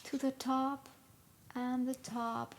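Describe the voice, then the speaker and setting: A woman leading a live guided meditation in a soothing, instructional way, with long pauses between short phrases. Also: sits high, flat delivery. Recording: live recording with clear background noise.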